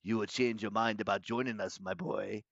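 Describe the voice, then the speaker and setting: A man's voice: lowish voice, fairly even intonation, creaky, dry recording, muffled recording, voice pushed down. A man voicing a menacing monster in disguise, pompous and condescending, in a storybook reading. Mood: gloating menace. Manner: pompous, condescending, deliberate villain delivery. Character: menacing, gloating male monster disguised as a schoolmaster.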